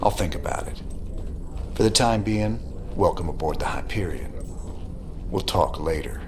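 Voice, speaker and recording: A drawling rebel commander. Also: male voice, low-pitched, expressive, normal speed, music in the background. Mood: laconic. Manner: drawling, plainspoken. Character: weary rebel male commander, dry and laconic.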